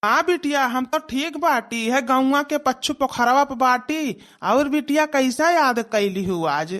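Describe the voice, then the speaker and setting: Woman voicing a kindly, high-voiced old village father. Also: animated, measured pace. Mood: affectionate, concerned. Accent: Awadhi. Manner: gentle, fatalistic, addressing listener tenderly as child. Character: kindly, resigned old village father consoling his daughter. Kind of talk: comic village cartoon dialogue.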